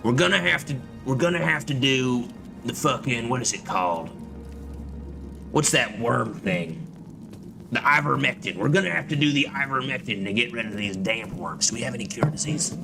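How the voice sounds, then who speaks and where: A man's voice: mid-range voice, expressive, normal speed, creaky. A man giving casual, profane gameplay commentary, muttering to himself as he plays. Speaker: gamer streaming a playthrough. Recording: loud music behind the voice.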